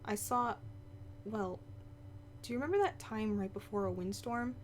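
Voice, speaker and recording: A woman voicing a young, sharp-tongued woman telling of her past in a visual novel, informal and snappy. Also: mid-range voice, normal speed, slight background noise, close to an everyday voice. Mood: reflective. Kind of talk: visual novel voice-over read aloud.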